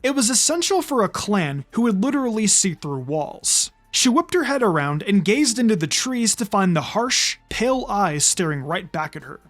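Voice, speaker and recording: Story narrator, expressive reading, varied intonation, mid pitch, background music. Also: normal speed, hardly any pauses. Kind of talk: expressive fan fiction reading.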